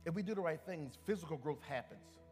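A man's voice: fairly high pitch, expressive, quick pace, a little mellow, in a large hall, soft background music. A male pastor preaching a sermon in a church. He speaks emphatically, often restarting his sentences and calling out to the congregation. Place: church sanctuary.

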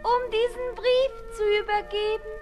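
A woman's voice: very high-pitched, little pitch movement, normal speed, a little mellow, faint music behind. An actress playing a solemn, unhappy young woman who pleads insistently in formal chant in a radio play. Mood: solemn pleading. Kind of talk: 1960s German radio play acting.

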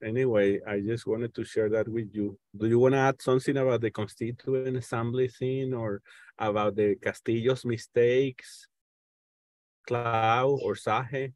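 A middle-aged man from Venezuela with a Venezuelan Spanish accent speaks haltingly on a video call, repeating words as he hosts an informal political discussion. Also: medium pitch, steady tone, a little mellow, dry recording. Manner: halting, stammering repetitions as he searches for words. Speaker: host of an online panel discussion. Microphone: earphones on a video call.

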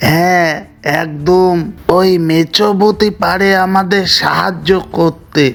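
A man voicing a grave, commanding village headman in a cartoon story, speaking deliberately and with authority. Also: high voice, fairly even intonation, vocal fry, faint music behind, full character voice. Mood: worried, resolute. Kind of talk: Bengali cartoon story voice acting.

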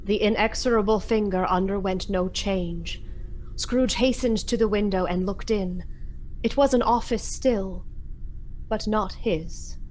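A woman narrator telling a story in a radio play, with measured, solemn, literary delivery. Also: medium pitch, a little mellow, a little hiss or hum, low-quality recording. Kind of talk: dramatic scripted storytelling narration.

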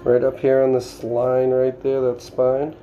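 Middle-aged man, Boston accent, mellow, casual how-to instructor, home kitchen with faint room noise. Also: mid-range voice, completely flat delivery, measured pace, lo-fi sound. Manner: plainspoken, instructive, talking while working with his hands. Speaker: home cook demonstrating fish filleting. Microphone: camera on the counter.

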